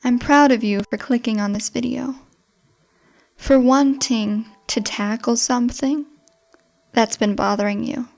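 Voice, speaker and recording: A woman narrating a guided meditation in a gentle, encouraging, informal manner. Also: a little high, gravelly. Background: music.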